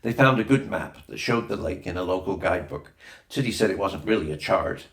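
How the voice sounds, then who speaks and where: A man's voice: low-pitched, expressive, fairly quick. A man reading a children's adventure novel aloud as scripted narration, with a roomy echo on the recording. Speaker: audiobook reader.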